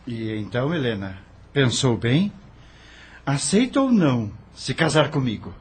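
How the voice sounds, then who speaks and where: Actor as an earnest, expressive widowed gentleman. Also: mid-range voice, measured pace, husky, exaggerated voice. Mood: composed. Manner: formal, earnest. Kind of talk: audio drama acting.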